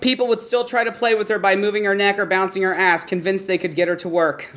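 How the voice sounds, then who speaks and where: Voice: medium pitch, flat delivery, fast, a bit bright. A performer reading a bawdy comic story aloud in front of an audience. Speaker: story reader. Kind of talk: comedic erotic story reading before an audience. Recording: noisy live room recording.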